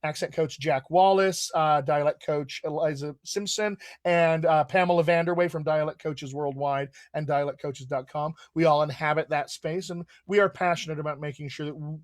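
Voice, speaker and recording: A man's voice: fairly high pitch, no room sound, clean studio sound. A man hosting a live video Q&A as a dialect coach, talking in an upbeat, promotional way with lots of fillers, over a video call. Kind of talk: casual live-stream chat.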